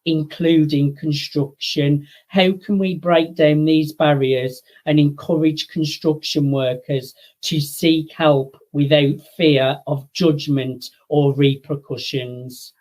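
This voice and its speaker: A man hosting an interview, asking measured, reflective questions. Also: on the high side, steady tone, a little mellow. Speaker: host.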